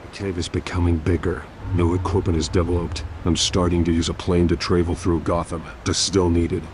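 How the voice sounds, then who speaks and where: A man playing a grim, resolute masked vigilante, narrating a journal in terse, deadpan sentences. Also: low-pitched, a little fast, soft background music, natural voice. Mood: terse, matter-of-fact. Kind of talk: first-person journal narration.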